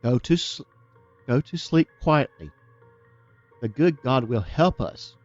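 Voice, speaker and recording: A man voicing the young boy Hansel in a storybook reading, soft and comforting toward his sister. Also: mid-range voice, lively intonation, slow pace, highs cut off, faint music behind. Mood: gentle.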